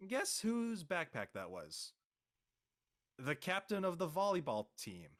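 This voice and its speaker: A man playing an exasperated, reluctant high-school boy in an amateur script reading, with a whiny, protesting manner. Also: fairly bright tone. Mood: flustered. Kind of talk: amateur audio drama table read.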